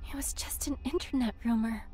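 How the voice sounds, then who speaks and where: A woman voicing an evasive, guarded young woman under questioning in a video game, soft and defensive. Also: fairly high pitch, fairly quick, lightly acted. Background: video game music.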